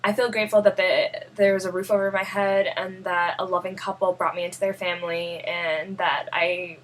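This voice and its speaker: A young woman with a New York accent, born in Russia, talking earnestly and haltingly to camera in a personal vlog, often searching for words. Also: on the low side, little pitch movement, poor audio. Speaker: vlogger.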